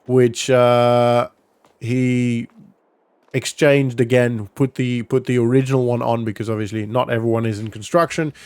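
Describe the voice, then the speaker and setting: A man's voice: medium pitch, flat delivery, measured pace, darkish tone, clean tone, dry recording. A man narrating a roleplay gaming vlog in a casual, rambling way, as if thinking aloud. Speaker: gaming vlogger.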